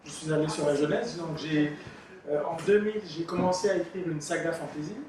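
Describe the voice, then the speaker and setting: A middle-aged man from the Republic of the Congo talks in a measured, hesitant way, pausing on fillers, his voice a little higher than most men's. He sits some way off the mic in a very echoey room, with faint noise underneath. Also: distant mic. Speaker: author and illustrator guest. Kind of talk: radio interview answers.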